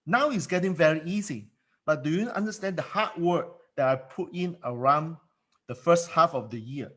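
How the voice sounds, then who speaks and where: A man's voice: a little high, lively intonation, normal speed, a bit bright. A man coaching in a solo monologue, emphatic and given to repeating words, speaking English as a second language. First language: not English. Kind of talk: motivational monologue.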